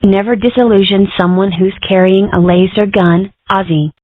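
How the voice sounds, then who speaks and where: A woman's voice: mid-range voice, fairly even intonation, normal speed, close to an everyday voice. A woman voicing a brash, sardonic young girl fox in a cartoon comic dub, with a dry, sarcastic delivery. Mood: exasperated. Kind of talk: comic strip dub acting. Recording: tinny phone-like band-limited audio.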